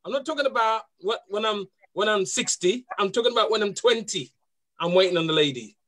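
A man with a high voice, chatting on a talk show panel. He is playful and teasing and keeps repeating his words. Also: expressive, a little fast, bright, forceful tone. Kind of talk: casual panel chat.

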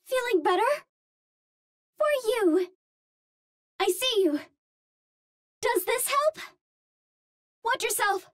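A woman's voice: extremely high pitch, expressive, slow pace, pauses often, dry recording, clean studio sound, full character voice. A woman voicing a cute, earnest young Martian heroine in a video game, with precise, formal phrasing. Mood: helpful, caring. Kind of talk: video game character voice lines.

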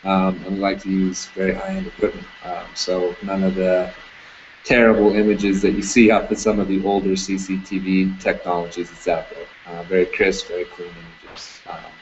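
A man in his thirties, a security camera business owner, talks casually in an interview over a video call, relaxed, with many fillers. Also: deep voice, normal speed. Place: indoor room with curtains. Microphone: webcam on video call. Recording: dull lo-fi video call audio, faint noise.

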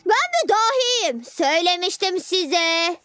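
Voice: very high voice, expressive, slow pace, sharp tone, distant mic, exaggerated voice. An actor voicing a pompous, scheming boy mad-scientist villain in a children's cartoon, gloating theatrically. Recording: music behind.

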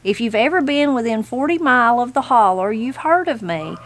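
A woman's voice: fairly high pitch, lively intonation, normal speed, no room sound, close to an everyday voice. A woman voicing a feisty old backwoods granny, blunt, folksy and indignant, as a storybook character. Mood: folksy, determined.

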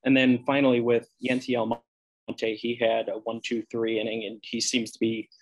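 A man's voice: mid-range voice, completely flat delivery, moderate pace, poor audio. A man, a sports reporter, putting questions at a press conference.

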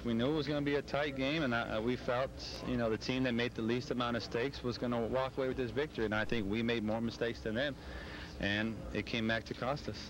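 Male QB, quick and matter-of-fact, crowd behind. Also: medium pitch, steady tone, poor audio. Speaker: quarterback. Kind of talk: postgame interview.

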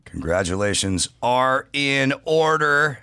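A man's voice: medium pitch, expressive, takes it slowly, pushed and bright, clear, resonant tone, no room sound, clean studio sound. An American man reading scripted announcements as the announcer of a podcast game. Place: indoor podcast studio.

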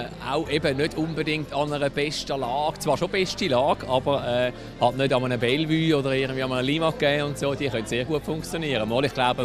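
A man in his forties with a Swiss German accent, answering hesitantly with many fillers into a handheld mic at a crowded venue. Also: medium pitch. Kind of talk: casual interview. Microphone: handheld reporter mic.

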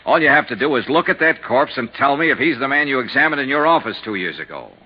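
An actor playing a hard-boiled, persistent insurance investigator in 1950s radio detective style, clipped and blunt. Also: male voice, medium pitch, lively intonation, fast, slightly rough. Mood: stern, pressing. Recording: noisy, dull 1950s radio recording.

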